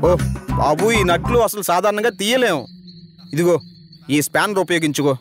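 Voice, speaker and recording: A man's voice: high voice, lively intonation, normal speed. A man voicing a fussy, easily panicked driver in a dubbed cartoon, always calling his boss sir. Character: jumpy talkative male driver. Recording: music bed under dialogue.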